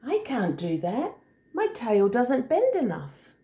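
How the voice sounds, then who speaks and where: A woman's voice: on the high side, wildly swooping pitch, slow pace, dark tone, echoey room, voice pushed up, exaggerated voice. Woman reading as an earnest little boy wallaby, raised voice. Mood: protesting. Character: small young male wallaby, earnest and easily saddened. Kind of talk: children's storybook read aloud.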